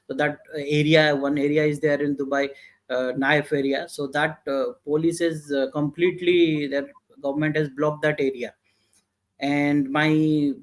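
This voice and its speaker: Indian English male guest, middle-aged, halting interview delivery. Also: fairly high pitch. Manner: halting, many "uh" fillers and restarts. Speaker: guest entrepreneur. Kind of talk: interview answers, casual storytelling.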